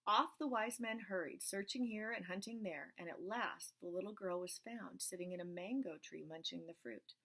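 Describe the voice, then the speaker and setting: A woman reading a picture book aloud, gently pointing out the pictures as she goes. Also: medium pitch, moderate pace, hardly any pauses. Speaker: storyteller.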